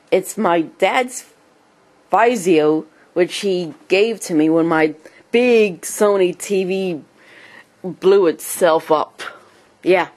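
A woman with a cold and a speech impediment, giving a casual home tour in halting, choppy phrases. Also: on the low side, darkish tone. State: has a cold, clearing throat. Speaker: amateur video blogger and hobby writer. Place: small home computer room. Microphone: handheld camera held by the speaker.

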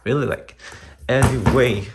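A man giving a casual, unscripted footwear review in a halting, rambling way with loose wording and mild swearing. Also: medium pitch, fairly even intonation. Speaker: sneaker and boot reviewer. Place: small indoor room at a desk. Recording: clearly noisy home recording with clicks.

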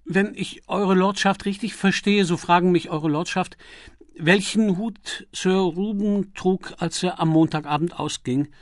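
A loyal valet from an audiobook acted by a man, stiffly proper and servile. The voice sits high and sounds close and dry. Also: measured pace, dry recording. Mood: stiffly reproachful. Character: deferential male valet, formal, proper and loyal. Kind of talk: audiobook character acting.

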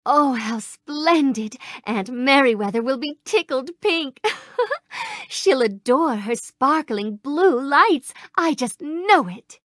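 A gentle, gracious young princess from a game, with a soft, sweet and refined tone. Her voice sits a little high and moves through a lively, sing-song melody. The close studio recording is dry, with no room around her. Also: slow pace, no echo. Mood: grateful. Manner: polite, gracious, warmly grateful. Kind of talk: game voice clips. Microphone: close studio mic.

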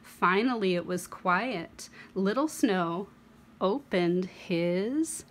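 A young woman reading a picture book aloud to children as host, expressive, with dramatic pauses and emphasis. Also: medium pitch, slight background noise. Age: young adult.

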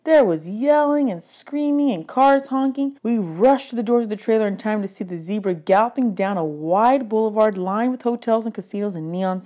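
Woman, lowish pitch, audiobook reader, read-aloud story narration. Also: mellow.

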